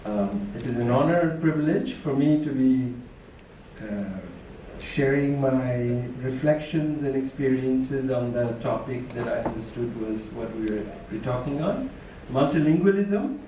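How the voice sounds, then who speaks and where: A man's voice: medium pitch, unhurried, warm tone, slight background noise. A Bangladeshi man whose first language is Tripura, giving a formal, thoughtful conference talk as a panel speaker, with frequent restarts. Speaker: panel speaker, university lecturer. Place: large conference hall. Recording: distant echoey hall recording.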